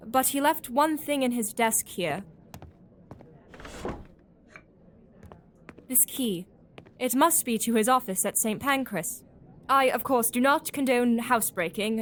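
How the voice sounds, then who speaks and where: A woman acting as an eager young scientist with a fairly high voice. She is gushing, gossipy and properly spoken, in the manner of an audio drama. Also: unhurried, slight background noise, lightly acted. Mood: helpful, discreet. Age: young adult.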